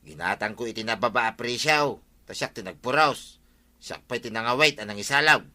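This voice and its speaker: A man playing a scheming, easygoing village husband in a comedic radio drama, muttering colloquially with drawn-out interjections. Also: medium pitch, pushed and bright. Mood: casual.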